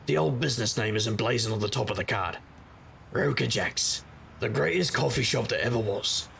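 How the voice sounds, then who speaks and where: An actor playing a wry, sardonic supernatural private investigator who narrates in conversational noir style. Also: male voice, medium pitch, fairly quick, vocal fry, fairly bright tone, slight background noise. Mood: wry, reflective. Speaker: narrator and lead character. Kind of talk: noir first-person scripted narration.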